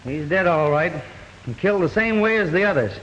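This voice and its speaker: An actor playing a brash young detective who takes charge, wisecracking in a 1940s radio drama. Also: male voice, high-pitched, lively intonation, brisk, fairly bright tone, muffled recording. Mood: commanding. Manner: breezy, commanding, wisecracking. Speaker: detective lead. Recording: old radio recording with hall reverb and faint hiss.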